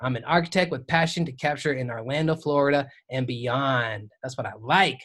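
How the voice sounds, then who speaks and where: A man's voice: mid-range voice, normal speed, fairly bright tone. A man hosting a podcast in a hyped, slangy, enthusiastic way. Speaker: podcast host. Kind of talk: casual podcast chat.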